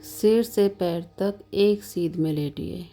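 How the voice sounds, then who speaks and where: A woman leading a guided yoga nidra meditation as the instructor, giving calm, measured, soothing instructions with long pauses between them. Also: fairly low pitch, faint music behind. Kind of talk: slow guided meditation narration.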